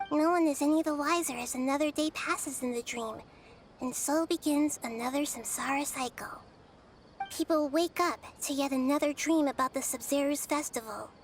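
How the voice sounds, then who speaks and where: A woman voicing a small, gentle, wise young goddess in an anime video game, explaining things in a measured, teacherly way. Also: high-pitched, a little hiss or hum, lightly acted.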